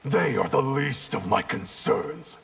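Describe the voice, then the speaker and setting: An actor playing a cold, proud ninja clan villain in a video game, gravelly, disdainful and threatening. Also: male voice, mid-range voice, expressive, slow pace, a bit bright, full character voice. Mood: cold contempt. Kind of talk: video game fight banter. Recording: game audio with clear background noise.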